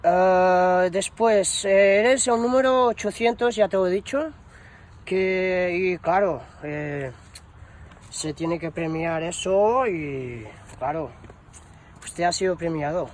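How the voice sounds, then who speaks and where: A high-pitched, slow-talking man acting as a bumbling promotions employee. He rambles through a prank phone call with hesitant stammers and casual slang. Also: slight background noise. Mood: chatty, hesitant. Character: bumbling shoe shop promotions employee announcing a prize. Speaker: prank caller.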